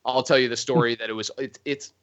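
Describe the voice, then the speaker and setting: A man's voice: mid-range voice, fairly even intonation, quick pace, a bit bright. A man with a Chicago accent, a drummer guest chatting casually in an interview, rambling with frequent chuckles. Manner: rambling, laid-back, chuckles often. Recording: muffled lo-fi video call audio.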